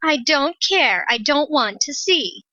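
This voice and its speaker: A woman acts a petulant, imperious young lady in a stage farce. Her voice is high and piercing, curt in delivery, on a slightly dull, dry recording. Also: lively intonation, slow pace, pushed and bright, dry recording, exaggerated voice. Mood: petulant, impatient. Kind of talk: comic stage-play acting.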